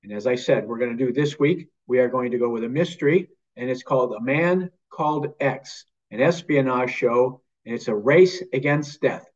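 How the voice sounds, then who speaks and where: A man's voice: medium pitch, moderate pace, few pauses. An older man speaking as a show host, giving a scripted introduction over a video call. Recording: slightly muffled video-call sound.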